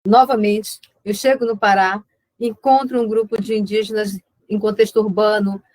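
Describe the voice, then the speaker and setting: A woman's voice: mid-range voice, little pitch movement, moderate pace, a little mellow, no echo, rough recording. A woman with a northern Brazilian accent talking conversationally as a guest in a web conference, reflective and often repeating words as she searches for the next one. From Amazonas, Brazil (Alto Solimões).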